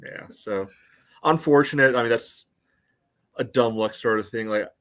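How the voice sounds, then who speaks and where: A man's voice: medium pitch, a little slow, a bit bright. A man co-hosting a sports podcast, casually breaking down a soccer match in a conversational, qualifying way.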